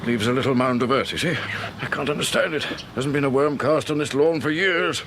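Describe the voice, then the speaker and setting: A man's voice: mid-range voice, a little fast, noisy background, lightly acted. An actor playing a fussy, irascible retired British man in a radio drama, blustering and grumbling. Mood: anxious, fixated. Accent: British English. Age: older. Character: fussy, irascible retired husband obsessed with his lawn.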